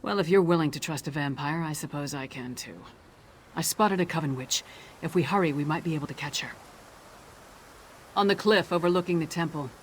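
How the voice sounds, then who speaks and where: A woman's voice: sits low, a little hiss or hum, close to an everyday voice. A woman voicing a big, tough, no-nonsense warrior in a video game. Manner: blunt, no-nonsense. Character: large, tough, blunt female axe-wielding warrior. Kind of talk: video game character acting.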